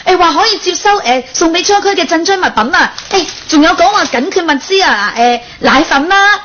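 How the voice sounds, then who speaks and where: Pushy cantonese office girl, super high voice, radio skit, noisy muffled sound. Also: animated, a little fast, pushed and bright, heavily acted. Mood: eager, concerned. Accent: Cantonese, Guangdong. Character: pushy, soft-hearted female office employee, cheeky with boss. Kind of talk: improvised Cantonese comedy radio drama. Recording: dull lo-fi radio recording, clear noise.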